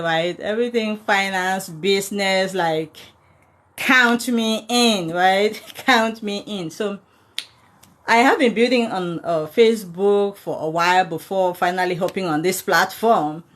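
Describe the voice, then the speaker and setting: A woman from Cameroon in her thirties, chatting casually to viewers about money and thanking them by name as she goes. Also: mid-range voice. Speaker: personal finance content creator. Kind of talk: casual livestream talk to viewers.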